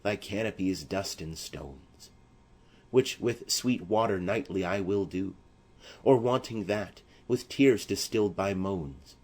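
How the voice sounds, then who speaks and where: A man's voice: low-pitched, a little slow, a little mellow. A man playing a proud, mournful young noble who declaims formal verse in a tragedy audiobook. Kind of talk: classical tragedy audiobook acting.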